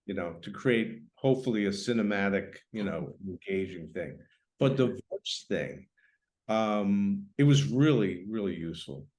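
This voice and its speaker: Man, lower-pitched voice, reflective, halting, repeats phrases, casual writerly chat. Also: lively intonation, darkish tone. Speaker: writer, guest. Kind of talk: casual literary conversation.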